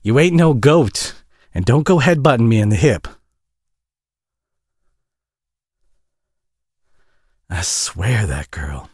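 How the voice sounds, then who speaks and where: A man's voice: medium pitch, expressive, normal speed, darkish tone, breathy edge, dry recording, studio quality. A man acting a tired, flustered, friendly single dad with a Southern US accent in an intimate audio roleplay, rambling and stammering in a folksy, self-deprecating way. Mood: playful, warm.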